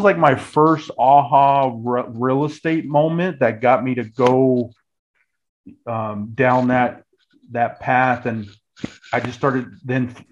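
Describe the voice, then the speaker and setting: A man's voice: mid-range voice, lo-fi sound, slight background noise. An American man in his early forties telling his story in a casual, conversational way, often restarting and repeating phrases mid-thought. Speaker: guest, real estate agent.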